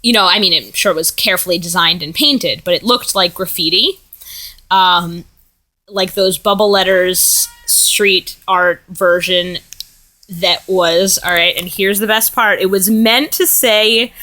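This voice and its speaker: A woman's voice: fairly low pitch, a little slow, bright, forceful tone. A young American woman teaching abroad, chatting in a casual video letter to a friend, rambling with lots of ums and likes. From United States.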